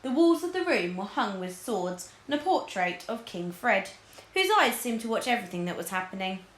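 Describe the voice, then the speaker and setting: Female storyteller, mid-pitched, expressive children's book narration, recorded in an echoing room. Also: moderate pace. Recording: roomy, echoing indoor sound.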